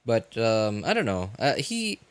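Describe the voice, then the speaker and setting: A man hosting a livestream, chatting casually and unscripted with viewers in a halting, rambling way that often repeats phrases. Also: lowish voice, wildly swooping pitch, dry recording. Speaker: livestream host.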